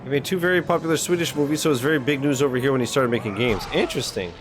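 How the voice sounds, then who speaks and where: A man's voice: medium pitch, very fast. A man streaming his reactions to game cutscenes in casual, joking chat, swearing freely. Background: video game cutscene audio and music.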